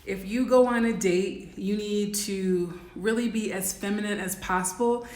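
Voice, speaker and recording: Raspy woman coaching ppl straight up, lots of um and like, kinda echoey room. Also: medium pitch, steady tone, a little slow, a little mellow. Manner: blunt, instructive, many "um" and "like" fillers. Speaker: dating and life coach. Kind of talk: casual livestream advice talk.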